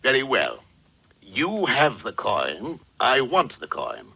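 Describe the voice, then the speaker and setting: An actor in a 1940s radio drama playing a wily, jovial middle-aged gentleman villain who talks with pompous, florid formality. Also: medium pitch, huge pitch swings, slow pace, vocal fry, husky, full character voice. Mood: wily, genial. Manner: pompous, formal, chuckling, says "sir" constantly. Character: wily, jovial, florid fat-cat gentleman villain posing as coin dealer. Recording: old 1940s radio recording.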